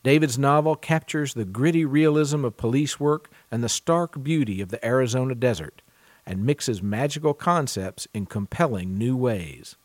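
A man's voice: medium pitch, animated, moderate pace, dry recording. Man hosting a podcast, dry close sound. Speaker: podcast host, contributing editor. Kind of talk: scripted podcast introduction and news reading, then interviewing.

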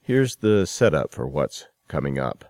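A man's voice: rumbling low, sing-song, a little slow, darkish tone, dry recording. A man hosting a show and reading scripted introductions. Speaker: show host. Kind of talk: radio-style hosting and scripted announcements.

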